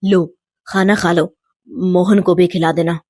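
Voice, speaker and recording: A woman voicing a humble, devout poor village father, gentle and respectful, in an animated moral tale. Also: fairly low pitch, measured pace, no echo, studio quality, voice pushed down. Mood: downcast. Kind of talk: animated devotional storybook acting.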